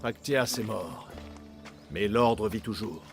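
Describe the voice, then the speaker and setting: An actor playing a weary older warrior father in a video game, solemn and measured, haunted by grief. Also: lowish voice, faint music behind. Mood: grave warning. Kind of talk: dramatic video game acting, French dub.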